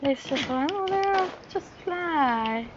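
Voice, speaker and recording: A woman's voice: sits high, animated, measured pace. A woman streaming a game, thinking aloud in casual, idle commentary. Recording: dull lo-fi stream, faint noise.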